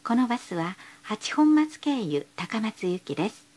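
Lady doing recorded bus announcements, high voice, super polite and formal, pitch goes up and down a lot. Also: very animated, unhurried, darkish tone. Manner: polite, formal, carefully enunciated phrasing.

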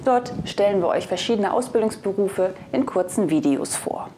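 A woman's voice: medium pitch, lively intonation, normal speed, dark tone, a little hiss or hum. A woman in her thirties presenting a scripted video review, addressing viewers casually into a lapel mic.

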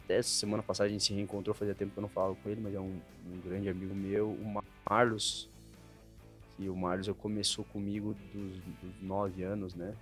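A Brazilian man in his thirties talking over his career in a relaxed way, with lots of fillers, as a podcast guest. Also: lowish voice, brisk, faint music behind. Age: 30s. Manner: relaxed, thoughtful storytelling with many fillers. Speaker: interview guest, retired footballer. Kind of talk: casual podcast interview. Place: podcast studio with shirts on the wall. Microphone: desk mic on a stand.

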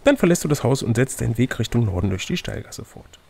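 A man with a raspy, slightly creaky voice acts as game master for an adventure gamebook. His pitch is of middle height, and he moves between reading aloud and casual banter. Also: very animated, quick pace, darkish tone.

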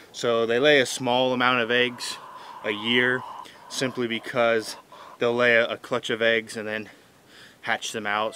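A man in his thirties talking casually outdoors in a farmyard, halting with many fillers and restarts. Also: mid-range voice, pushed and bright, slight background noise. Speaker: backyard chicken keeper. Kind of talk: casual unscripted vlog. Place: outdoors in a sunny pine-wooded farmyard. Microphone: camera mic at close range.

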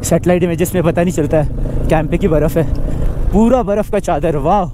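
A man's voice: fairly high pitch, brisk. Young man vlogging on a motorbike. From India. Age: young adult. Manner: chatty, excited, mixes in English words. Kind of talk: casual travel vlog narration. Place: outdoors on a mountain road, riding a motorbike. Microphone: action camera on the bike. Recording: motorbike and wind noise behind.

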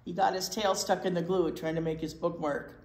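An older woman reading a picture book aloud to children in an expressive way, with asides to young listeners. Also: fairly low pitch, fairly quick. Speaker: library storytime reader. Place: indoor library storytime set. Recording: roomy indoor sound.

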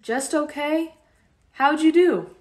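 Woman doing strict practical mom, brusque, high pitched, radio play. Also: slow pace, a little mellow. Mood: stern. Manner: brusque, demanding. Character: stern, no-nonsense refugee mother, brisk and practical.

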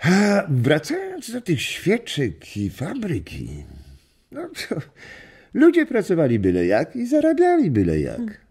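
Grandfather for kids' audio drama: old, warm storyteller, hugely expressive pitch, husky tone. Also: heavily acted. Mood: storytelling. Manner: animated storytelling with chuckles and drawn-out words. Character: warm old grandfather reminiscing with his family. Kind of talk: children's radio play acting.

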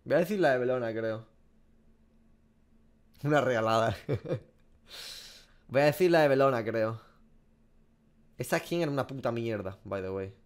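Male streamer, twenties, Spanish accent from Spain, informal sweary game reactions, average pitch. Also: expressive, measured pace, long pauses, clear, resonant tone. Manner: informal, slangy and sweary, thinking aloud. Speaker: gaming streamer. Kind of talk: casual reaction commentary. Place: small home room.

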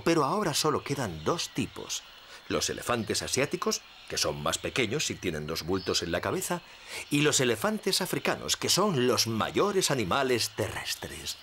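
A man's voice: mid-range voice, a little hiss or hum. A man voicing a friendly park ranger who explains things to children in a cartoon. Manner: animated, explanatory. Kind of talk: animated cartoon dub.

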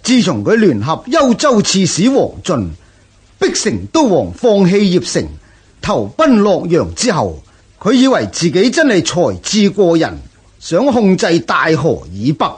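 An actor playing a proud, scornful Xiongnu chieftain in a Cantonese historical radio drama, declaiming grandly with a Hong Kong accent. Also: male voice, high voice, huge pitch swings, unhurried, breathy edge, heavily acted. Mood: scornful, boastful.

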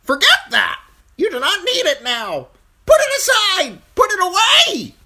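A high, thin, piercing crow, scolding and impatient. It swoops and shrieks insistently, as in a fantasy audiobook. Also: male voice, huge pitch swings, slow pace, pushed and bright, husky, full character voice. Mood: urgent, shrieking.

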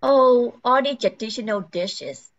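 A woman's voice: on the high side, animated, measured pace, lo-fi sound, slight background noise. An older woman playing an overworked, flustered housewife in an amateur radio play. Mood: harried, chatty.

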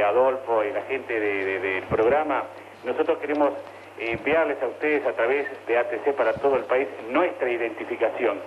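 A man's voice: medium pitch, muffled recording, background noise. A man with an Argentine Spanish accent speaking formally as a radio announcer over a long-distance link. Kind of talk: formal message read slowly for dictation.

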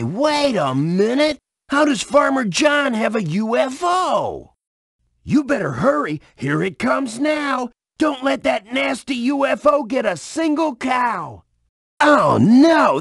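A man voicing an excitable, suspicious video game creature with a raspy voice and an urgent, exclaiming manner. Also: very high-pitched, dry recording, clean studio sound, exaggerated voice. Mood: urgent, frantic. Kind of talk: video game character dialogue.

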